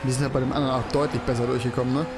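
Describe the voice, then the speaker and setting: A man's voice: medium pitch, level tone, rapid-fire. A young man rambling casually while commentating a racing game, swearing and exclaiming at the track. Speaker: let's play host. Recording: game music playing behind the voice.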